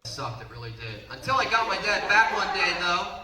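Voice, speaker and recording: A man in his twenties from the Baltimore area, doing a brash, punchy stand-up set on a comedy club stage and sometimes shouting. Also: high-pitched, big pitch swings, brisk. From Baltimore area, Maryland. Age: 20s. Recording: roomy, distant, noisy club recording.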